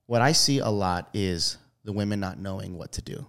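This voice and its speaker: Male host, laid-back interviewer, lower voice. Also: moderate pace, vocal fry, clear, resonant tone. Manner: laid-back, says dude, hesitant when framing questions. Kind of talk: casual interview.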